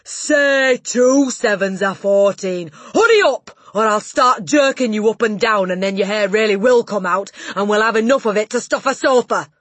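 A woman voicing a huge, tyrannical headmistress who loathes children, bellowing her insults with drawn-out emphasis in an audiobook. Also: deep voice, expressive, fairly bright tone, breathy edge, dry recording, full character voice. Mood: furious, bellowing. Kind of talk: audiobook character voice.

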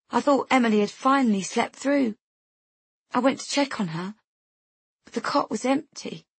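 A woman acts out a grieving mother giving a radio interview in an audiobook. The voice is raised well up in pitch, with an anguished, pleading delivery and a husky edge. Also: no echo. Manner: stripped bare, anguished appeal.

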